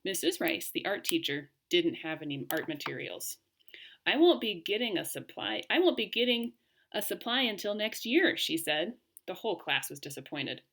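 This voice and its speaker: A woman reading a story aloud and talking to children as a teacher, with expressive, clearly phrased delivery. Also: mid-range voice. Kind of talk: read-aloud storytelling and lesson talk for children.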